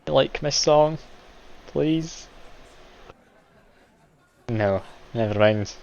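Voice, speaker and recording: A man's voice: medium pitch, drawn-out words, vocal fry, a little mellow. A man streaming a game live, chatty and self-deprecating, laughing often as he plays. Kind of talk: casual live game commentary. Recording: dull lo-fi stream audio, game music behind.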